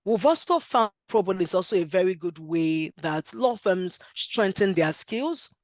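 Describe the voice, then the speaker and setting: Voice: medium pitch, animated, moderate pace, dry recording, clean studio sound. A speaker with a Nigerian accent, a lawyer giving an earnest talk at a workshop with frequent "um" fillers and restarts. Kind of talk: conference speech.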